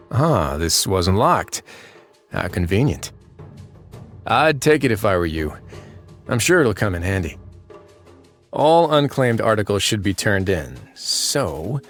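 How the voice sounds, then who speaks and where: A man's voice: on the low side, a bit bright, husky, full character voice. A man playing a wry, composed prison warden in a fantasy game, speaking with dry, laid-back, understated wit. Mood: cool, confident. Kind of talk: video game character voice lines.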